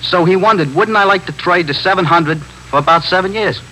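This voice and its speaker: An actor playing a nervy, talkative small-time drug pusher in a 1950s radio drama, rambling on in streetwise slang and justifying himself. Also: male voice, high-pitched, brisk, pushed and bright. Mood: animated storytelling. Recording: noisy 1950s radio broadcast recording with hum.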